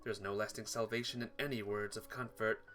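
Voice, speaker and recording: Bright-voiced man reading a visual novel aloud. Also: on the low side, fairly even intonation, brisk, faint music behind. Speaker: playthrough narrator.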